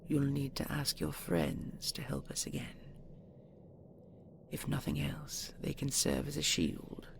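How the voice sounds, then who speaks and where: A woman playing a possessive, seductive dragon queen in an intimate audio roleplay, slow, doting and imperious, with a breathy, gravelly whisper. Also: a bass voice, steady tone, a little hiss or hum, exaggerated voice. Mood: commanding, doting.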